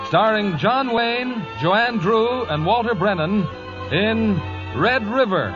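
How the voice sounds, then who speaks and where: A man's voice: sits high, slow pace. A man announcing a radio program in a grand, emphatic, proclaiming 1940s style. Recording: muffled 1940s radio broadcast with music.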